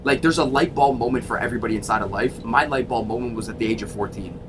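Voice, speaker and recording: A man in his thirties from Toronto, a sales coach telling his story in a casual interview, energetic and emphatic, swearing freely. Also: fast talker. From Toronto, Canada. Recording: constant vehicle rumble.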